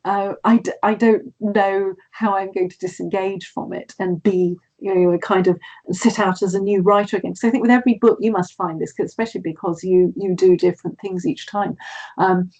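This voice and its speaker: A woman's voice: mid-range voice, steady tone, brisk, a little mellow, lo-fi sound. A woman author from Britain, a guest in a casual conversation, speaking thoughtfully as she searches for words.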